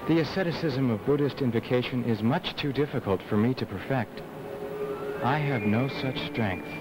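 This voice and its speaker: A man voicing an earnest, devout young monk in a dubbed animated film, solemn and measured in his delivery. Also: medium pitch, heavy background noise, close to an everyday voice. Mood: anguished, searching. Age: young adult.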